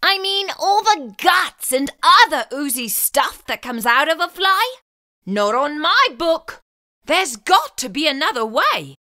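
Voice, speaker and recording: A woman's voice: high-pitched, sing-song, measured pace, sharp tone, no echo, studio quality, full character voice. A woman voicing a fussy, neat-freak young male frog who exclaims and frets dramatically in a storybook reading. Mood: frantic. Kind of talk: storybook read-aloud acting.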